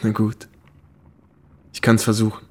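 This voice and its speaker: An actor playing a weary American war reporter who reminisces candidly in a radio drama. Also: male voice, on the low side, monotone, a little slow, lightly acted. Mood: hesitant.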